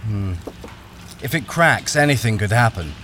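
A man's voice: lowish voice, expressive, unhurried, sharp tone, a little hiss or hum. A man playing a wary, experienced miner, acting and narrating a radio crime thriller in a guarded, brooding way. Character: wary, experienced male miner, blackmailed, narrating his ordeal.

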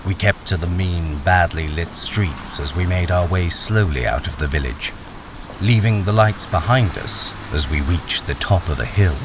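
A man's voice: deep voice, normal speed, lightly acted. A man playing a wary, hard-up army deserter who is the hero of a radio thriller. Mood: brooding narration. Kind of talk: radio thriller narration and dialogue. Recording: noisy, rain-soaked radio drama recording.

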